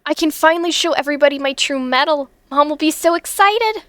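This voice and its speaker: Lady doing teen schoolgirl, high voice, dry recording, earnest n feisty, anime style dub. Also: brisk, a bit bright, no echo. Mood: excited. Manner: earnest, emotive. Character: spirited teenage schoolgirl, eager and defiant. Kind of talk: earnest fandub acting.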